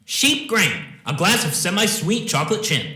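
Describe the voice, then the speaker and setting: A man's voice: sits high, sing-song, normal speed, bright, forceful tone. A man reading out a scripted list in a roomy recording. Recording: echoey, roomy sound.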